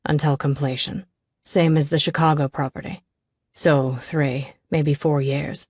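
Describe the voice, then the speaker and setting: A woman voicing a reserved young businessman who speaks in a measured, matter-of-fact way. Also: deep voice, steady tone, darkish tone, no room sound, pitched below the performer's own voice.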